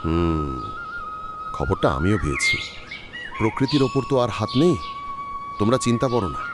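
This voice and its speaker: A man's voice: mid-range voice, huge pitch swings, normal speed, over loud music. Man playing a kindly village landlord, wildly varied intonation. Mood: thoughtful, reassuring. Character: kindly, generous male village landlord, dignified and caring. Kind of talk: acted cartoon folk-tale dialogue.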